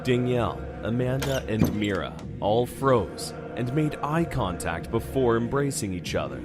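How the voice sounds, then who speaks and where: A man's voice: medium pitch, expressive, unhurried. A man narrating a scripted horror story in an audio drama. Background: music.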